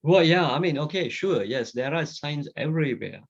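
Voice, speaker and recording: A man's voice: fairly high pitch, animated, normal speed. A middle-aged man from Sri Lanka answering student questions on a video call, talking conversationally and thinking aloud with restarts and fillers. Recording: dull lo-fi video call audio.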